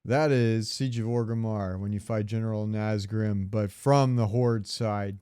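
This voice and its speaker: A man's voice: lowish voice, steady tone, measured pace, dark tone, clean tone, no echo, studio quality. A man co-hosting a casual gaming podcast, opinionated and rambling, swearing freely. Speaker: podcast co-host.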